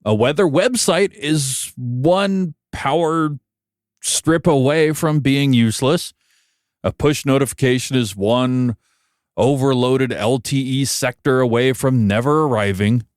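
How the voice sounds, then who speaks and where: An American man giving conversational solo commentary as a podcast host, wry and emphatic, pausing for effect. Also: mid-range voice, dry recording, studio quality. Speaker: podcast host and broadcast engineer.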